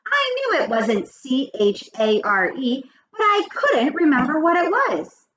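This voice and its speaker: A woman's voice: high-pitched, sing-song, a little slow, a bit bright, roomy sound, recorded from a distance, voice pushed up, exaggerated voice. A woman voicing a friendly, scatterbrained female primary teacher from a storybook, talking earnestly to a child. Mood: muddled, cheerful. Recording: muffled lo-fi with faint noise.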